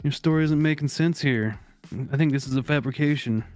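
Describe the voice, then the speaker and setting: A man's voice: a little high, brisk, a little mellow, soft background music. A man hosting a comedy advice segment, chatting and reading aloud in a blunt, profane, mock-serious way. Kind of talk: casual, joking group chat and reading aloud.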